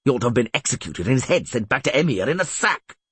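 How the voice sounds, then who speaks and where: A man's voice: mid-range voice, very animated, quick pace, slightly rough, no room sound, pitched above the performer's own voice. A man voicing an angry, impatient man in an audiobook, hissing his indignant complaints.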